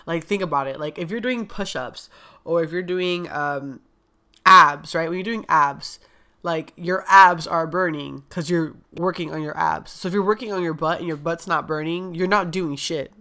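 A woman's voice: low voice, normal speed, sharp tone. A young woman wellness coach talking casually and frankly, with a stuffed-up nose, full of "like" and "um". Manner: chatty, frank, heavy use of "like" and "um". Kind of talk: casual podcast talk.

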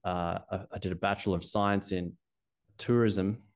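A man's voice: low-pitched, steady tone, moderate pace. A middle-aged Australian man, a ski coach being interviewed, talking casually in a rambling way with many restarts. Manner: rambling with frequent restarts and fillers.

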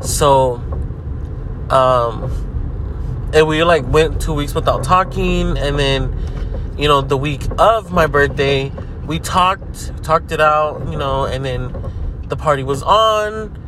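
A man of twenty-five, slightly sick with a deeper voice than usual, hosting a casual vlog podcast while driving, rambling loosely with lots of swearing and fillers. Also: takes it slowly. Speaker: podcast host. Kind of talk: casual talking-to-camera vlog. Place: inside a car while driving. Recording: clear car noise behind. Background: car road noise.

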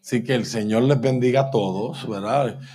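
A male preacher giving a church sermon, slowing down to stress points and often asking "¿verdad?". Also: mid-range voice, dark tone. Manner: emphatic, slows and repeats for stress, asks "¿verdad?". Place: church sanctuary.

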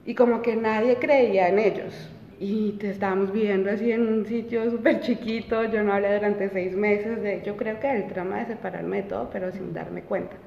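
A woman's voice: mid-range voice, fairly even intonation, moderate pace, creaky, hardly any pauses, a little mellow, a little hiss or hum. A woman in her twenties, an interview guest telling her own story casually, with many fillers and restarts. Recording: roomy, reverberant, dull sound.

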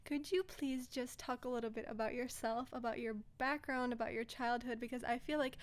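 A woman's voice: fairly high pitch, fairly even intonation, brisk. A woman hosting a casual podcast interview from a bedroom at home, speaking in an effusive, enthusiastic way. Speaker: podcast host.

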